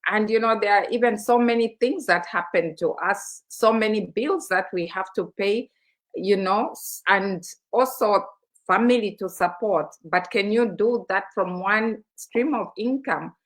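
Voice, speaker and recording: A woman from Zambia hosts a live studio stream, urging listeners with eager, persuasive energy. She talks in a mid-range voice and leans on frequent fillers as she builds her pitch. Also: a little slow, low-quality recording. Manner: enthusiastic, persuasive, many fillers. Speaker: co-host. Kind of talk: live-streamed motivational talk show.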